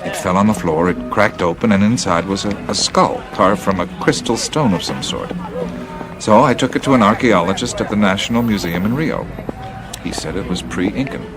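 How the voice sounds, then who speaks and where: Thoughtful adventurer, radio drama: lowish voice, halting, troubled, music bed underneath. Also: male voice, animated. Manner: hesitant, musing, halting. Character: thoughtful, troubled male adventurer seeking answers.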